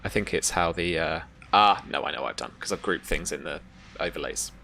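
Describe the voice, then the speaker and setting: A game master narrating a live tabletop horror game in an improvised, halting way, pausing to search for words. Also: low-pitched, creaky, sharp tone, a little hiss or hum.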